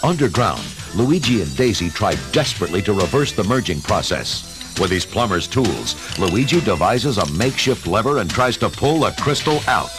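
A man narrating an adventure story in a measured, dramatic scripted style. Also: on the low side, big pitch swings. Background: dramatic music.

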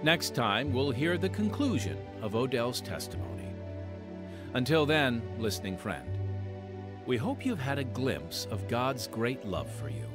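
A man's voice: mid-range voice, big pitch swings, a little slow, over music. A man hosting a radio drama podcast, reading scripted announcements and narration to listeners.

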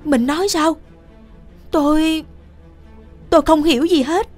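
Woman acting anguished young husband, pitched up. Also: measured pace, darkish tone, faint music behind. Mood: distressed pleading. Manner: earnest, pleading. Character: devoted, anguished young husband, poor and pleading.

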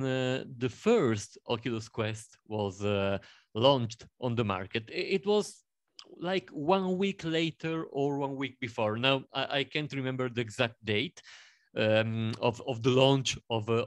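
A man in his thirties with an Italian accent, introducing himself on a panel in a halting way, with many fillers and restarts. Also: medium pitch, no room sound. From Italy. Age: 30s. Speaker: panel guest.

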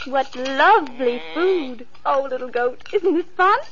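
A woman playing a gentle, kind young girl in a vintage radio play, speaking sweetly and coaxingly. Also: high-pitched, lively intonation, a little slow, a crowd in the background. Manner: sing-song, sweet and coaxing. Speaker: radio actress. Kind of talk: 1940s radio acting. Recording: muffled old radio recording.

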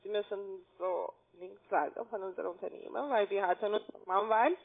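A woman with a foreign accent and non-native, halting phrasing, mumbling evasively through a phone sales call. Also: medium pitch, creaky. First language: not German. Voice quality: mumbled, indistinct. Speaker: telemarketing caller pitching investments. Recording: muffled, band-limited phone line.

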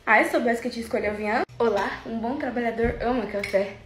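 A woman's voice: fairly high pitch, steady tone, fast talker, echoey room, background noise. A young Brazilian woman with a Brazilian Portuguese accent, acting out playful, dramatic comedy sketches.

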